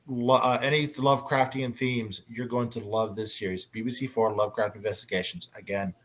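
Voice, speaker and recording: Male panel moderator, mid-pitched everyday voice, chatty and enthusiastic fan delivery. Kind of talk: casual panel chat.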